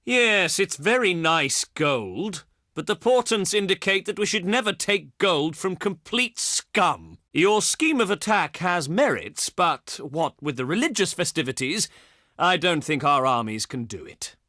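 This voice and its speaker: A proud, scornful Greek envoy from antiquity in a strategy game. His voice sits high and rings out sharp and forceful, swinging widely as he declaims. The delivery is pompous and dripping with sarcasm. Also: sing-song, measured pace, dry recording, clean studio sound, exaggerated voice. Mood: haughty disdain, then dismissive. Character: haughty, scornful ancient Greek diplomat, male. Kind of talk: theatrical video game diplomacy lines.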